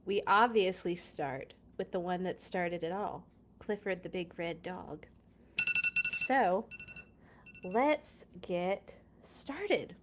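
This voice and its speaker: Slow, lively woman reading to children. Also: on the low side, background noise. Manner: expressive, playful, chatty. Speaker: storyteller reading a picture book aloud. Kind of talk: children's read-along with casual asides.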